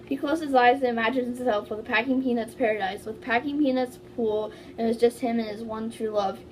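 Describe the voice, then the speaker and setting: A woman's voice: a little high, fairly even intonation, brisk. A woman doing a playful dramatic amateur reading, mixed with casual chat. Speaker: fan fiction reader. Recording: faintly noisy home recording.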